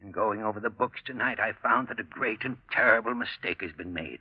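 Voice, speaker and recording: An actor playing a stiff, awkward older businessman with a husky voice, formal and halting, in a 1940s radio drama. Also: lowish voice, no room sound. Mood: stiff, contrite. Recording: dull vintage radio recording.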